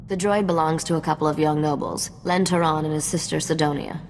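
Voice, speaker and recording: Shrewd cynical smuggler contact, deep-ish cool voice, game cutscene, echoing hall sound. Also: female voice, steady tone, brisk, a little mellow. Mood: matter-of-fact briefing. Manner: cynical, knowing, matter-of-fact. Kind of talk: video game voice acting. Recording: reverberant hall sound with faint noise.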